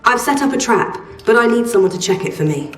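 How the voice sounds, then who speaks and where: An actress playing a guarded, exacting trader in a post-apocalyptic video game, speaking in a measured, terse, matter-of-fact way. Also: female voice, a little high, lively intonation, natural voice. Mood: guarded, stern. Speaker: quest-giving trader. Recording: roomy, slightly distant, music behind.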